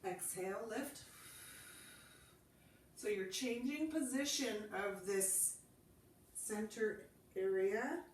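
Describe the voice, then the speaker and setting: A woman's voice: mid-range voice, slow, pauses often, reverberant, far from the mic, slight background noise. Congested woman cueing a Pilates class. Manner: calm, demonstrating while cueing movements. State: congested from a cold. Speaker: Pilates instructor. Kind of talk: guided exercise class instruction.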